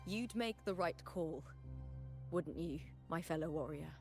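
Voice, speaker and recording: An actress playing a proud, honorable young warrior woman in a video game, earnest and measured. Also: deep voice. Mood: troubled, earnest. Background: game music.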